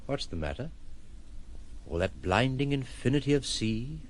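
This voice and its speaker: An actor playing a philosophical, reclusive gentleman in a radio drama, formal and reflective, tender and wry. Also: mid-range voice, very animated, normal speed, a little hiss or hum, natural voice. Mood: attentive.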